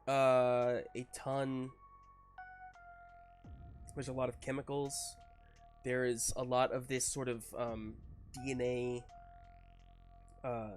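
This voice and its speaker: A man running a tabletop roleplaying game as game master, narrating off the cuff in a halting, informal way. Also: mid-range voice.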